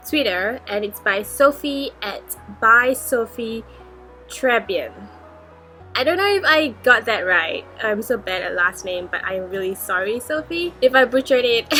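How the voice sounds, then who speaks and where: A woman's voice: on the high side, pushed and bright. A woman in her twenties chatting in a casual Q&A vlog, upbeat and chatty with frequent giggles. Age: 20s. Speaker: book vlogger.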